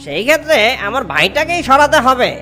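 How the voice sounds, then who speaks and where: A greedy young fox brother from a children's cartoon, voiced by a woman. The voice is high and very animated, exclaiming dramatically with a scheming edge, over faint music. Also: fairly quick, heavily acted. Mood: indignant, scheming.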